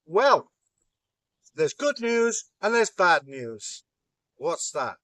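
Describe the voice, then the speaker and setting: A man voicing a sly male trickster god, chummy and smooth-talking. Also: high voice, very animated, very slow, a bit bright, no room sound, voice pushed up, full character voice. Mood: persuasive.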